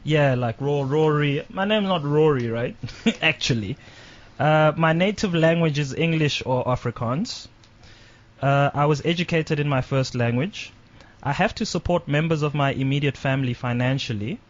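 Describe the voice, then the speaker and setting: Man reading quiz questions. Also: fairly high pitch. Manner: brisk, reads questions aloud quickly. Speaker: guest leading a privilege quiz. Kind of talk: radio talk show discussion. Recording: dull lo-fi radio recording.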